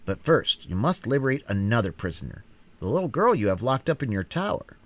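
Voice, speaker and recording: A man voicing a calm, gracious young girl ruler in a storybook reading. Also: medium pitch, a little fast, voice pushed up. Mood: composed. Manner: composed, quietly firm.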